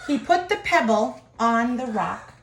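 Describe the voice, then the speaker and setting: A woman reading a children's picture book aloud in an expressive way, pausing to comment to her listeners. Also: a little high. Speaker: storybook reader. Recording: echoey room.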